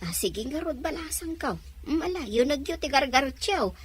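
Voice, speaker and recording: A woman acting an older Ilocano landlady, nosy but motherly, with a husky voice. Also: high-pitched, expressive, moderate pace. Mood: nosy, approving. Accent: Filipino, Ilocano.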